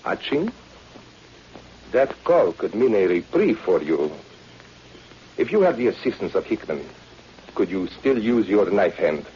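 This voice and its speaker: A man's voice: on the low side, sing-song, a little slow, long pauses, warm tone. An actor playing a cold, commanding male spy boss in an old radio drama, with a stern, formal manner. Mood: irritated, commanding. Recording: dull, noisy vintage radio recording.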